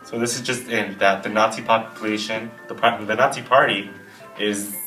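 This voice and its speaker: A man plays a male weatherman on an amateur newscast, speaking haltingly. His lowish voice is echoey and away from the mic, with faint music behind. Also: steady tone, sharp tone, far from the mic. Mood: flustered. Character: male newsreel weatherman breaking into urgent news.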